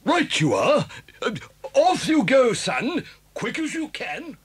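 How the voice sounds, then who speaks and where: A British actor voicing an anxious, fussy, good-natured badger in a children's audio story, blustering and plaintive. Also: male voice, extremely high pitch, huge pitch swings, slow, breathy edge, exaggerated voice. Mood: amazed.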